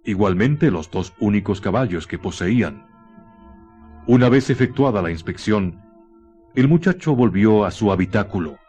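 Chilean guy narrating a radio story, lowish voice, solemn n measured, music underneath. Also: animated, a little mellow, slightly rough. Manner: solemn, literary, measured phrasing. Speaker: radio drama narrator and author. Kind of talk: scripted dramatic storytelling narration.

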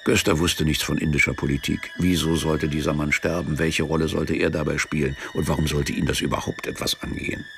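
A man narrating a literary radio drama in a measured, deadpan manner. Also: extremely low pitch, gravelly. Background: music behind the voice.

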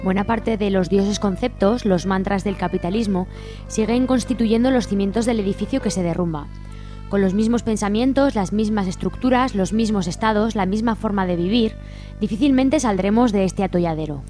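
A woman's voice: mid-range voice, moderate pace, warm tone, faint music behind. A woman with an accent from Spain co-hosting a radio programme, presenting from a script. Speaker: radio co-host.

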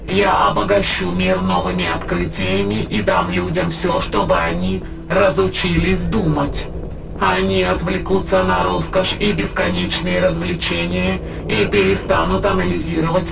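Gravelly, grandiose otherworldly spirit. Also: mid-range voice, poor audio. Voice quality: gravelly, creaky. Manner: grandiose, measured, formal, coldly persuasive. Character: megalomaniac otherworldly spirit plotting to conquer humanity. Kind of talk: audio drama acting, long villainous monologue. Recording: music bed under the voice. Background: dramatic background music.

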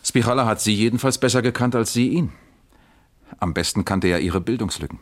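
A low-pitched man acting as a police captain in a German radio crime play. He is deliberate and musing, putting his questions with dry, wry wit and probing patiently as an East German investigator. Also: close to an everyday voice. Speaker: actor in a radio crime drama.

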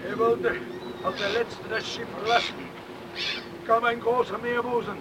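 A man's voice: very high voice, flat delivery, unhurried. A male actor playing a weary, shaken shipwrecked German helmsman with a German accent, speaking in terse phrases in a radio play. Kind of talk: radio drama acting. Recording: loud wind and sea noise.

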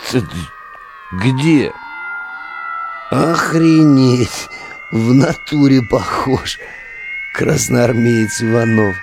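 An actor in a modern audio drama playing a cynical, bossy relic digger, a slangy and commanding drinking buddy. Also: male voice, mid-range voice, drawn-out words, gravelly, long pauses, background noise. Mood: wry surprise.

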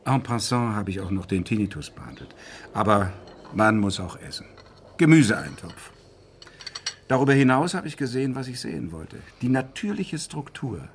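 Animated, eccentric doctor, played by an actor. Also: male voice, fairly low pitch, a little hiss or hum, close to an everyday voice. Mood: confident, musing. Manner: pronouncing, clinical, deliberate pauses. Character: eccentric male doctor, brisk, self-assured diagnostician. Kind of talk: German radio crime drama acting.

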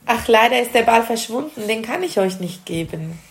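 A woman's voice: a little high, expressive, moderate pace. A woman with a Swiss German accent playing a kindly primary school teacher in an amateur radio play, speaking simply to children. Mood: regretful.

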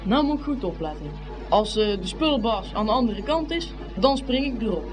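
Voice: mid-range voice, lively intonation, moderate pace, over music, natural voice. An actor playing a plucky, indignant young schoolboy at a fair, exclaiming and muttering in a radio play. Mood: excited. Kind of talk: Dutch radio play acting.

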